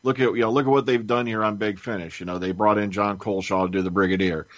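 A man with a fairly low voice talks casually and knowledgeably as a panelist. The sound is very dry and a touch muffled. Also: steady tone, fast, no echo. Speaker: podcast panelist. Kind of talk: casual informed chat.

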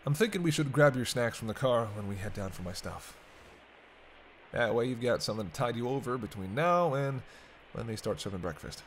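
A man's voice: mid-range voice, expressive, fairly quick, close to an everyday voice. A man playing a sarcastic, teasing captive vampire in casual, sweary banter for an intimate roleplay audio drama. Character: teasing, sardonic male vampire held captive by a hunter. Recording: clear background ambience noise.

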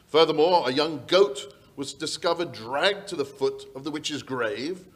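A man's voice: a little high, unhurried, close to an everyday voice. Worried, formal country baronet played by a man. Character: worried English country baronet, lord of the manor. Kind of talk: old-time radio drama acting. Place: small indoor stage before an audience. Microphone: vintage-style stand microphone.

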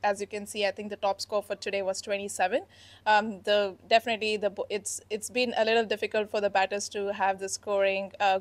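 A young woman captain fields reporters' questions in a steady, earnest tone, her pitch staying level and even. She speaks into a handheld mic in an indoor press room. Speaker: team captain. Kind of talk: post-match press conference answers. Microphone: handheld microphone.

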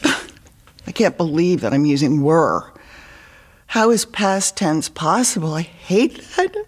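An actress playing a grieving friend who reads a poem at a funeral, tearful and breathy in an audio play. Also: female voice, low voice, expressive, takes it slowly, exaggerated voice. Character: grieving woman friend reading a eulogy poem.

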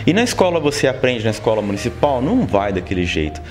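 Fast-talking man, interior São Paulo accent. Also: medium pitch, faint music behind. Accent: interior São Paulo Brazilian Portuguese. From Brazil. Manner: storytelling, lively, many "sabe?" tags. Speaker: interview guest. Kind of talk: casual interview talk. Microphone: handheld mic held by interviewer.

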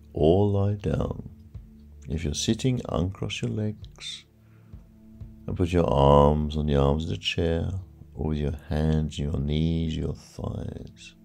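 A very low-voiced man guides a sleep hypnosis session. His delivery is slow and hushed, with a creaky, gravelly edge. He soothes the listener with repeated cues, over background music. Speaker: hypnotherapist, channel host. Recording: background music, echoing reverb on layered voice.

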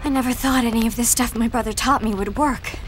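Woman playing spirited young heroine, fairly high voice, determined, video game acting, music behind. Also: steady tone, a little fast, close to an everyday voice. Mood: frank, guarded.

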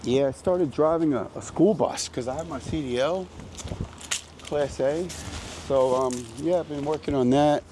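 An American man with a slightly raspy voice from a recent illness, chatting casually and informally as he hosts a walking vlog into a handheld microphone. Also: a little high, unhurried, dark tone. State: getting over a sickness. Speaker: vlogger hosting a walking tour. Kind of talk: casual rambling vlog chat. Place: outdoors on a city street. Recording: noisy outdoor street ambience.

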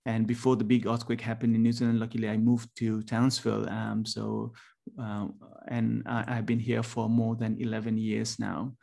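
A man from Nepal in his forties, a university lecturer giving a presentation over a video call, talking haltingly with many ums and restarts. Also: fairly low pitch, monotone, creaky, dark tone. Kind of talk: webinar presentation.

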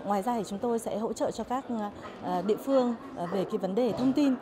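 A woman's voice: fairly high pitch, a little fast. A woman in her forties, an official, interviewed in an exhibition hall, hesitating with many filler sounds. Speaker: investment promotion official. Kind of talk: on-camera interview. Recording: crowd chatter behind.

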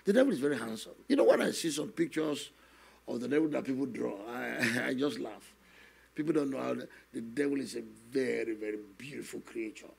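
Gravelly older man telling a testimony. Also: mid-range voice, lively intonation, darkish tone. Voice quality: gravelly, husky. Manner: slow, earnest storytelling with many "you know" asides. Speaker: guest minister. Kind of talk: testimony in a studio interview. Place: television studio, seated on a set. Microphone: stand microphone in front of him.

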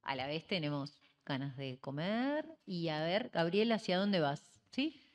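Slow-speaking woman moderator. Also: deep voice, lively intonation. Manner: slow, informal, inviting. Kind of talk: moderating a round table.

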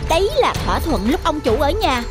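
A woman's voice: high voice, very animated, brisk, over music. A woman voicing a greedy, treacherous cat who taunts and gloats in a cartoon fable. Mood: gloating, menacing. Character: greedy, treacherous, menacing male house cat. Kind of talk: cartoon fable villain acting.

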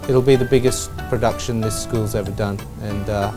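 A man's voice: fairly low pitch, moderate pace. A man who teaches drama at a school, explaining the production plainly with some fillers. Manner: matter-of-fact, steady with fillers. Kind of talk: interview explanation. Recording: music playing behind.

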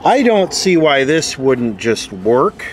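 A man's voice: medium pitch, slow pace. A man giving casual, off-the-cuff repair commentary, muttering and thinking aloud as he works. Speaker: host repairing vintage electronics on camera. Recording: steady background noise. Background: steady background noise.

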